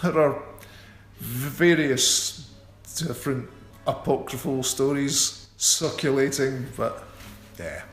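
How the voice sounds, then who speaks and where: A middle-aged man guesting in a radio interview, talking in an unhurried, self-deprecating and wry way. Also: medium pitch. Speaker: guitarist guest. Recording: roomy echo.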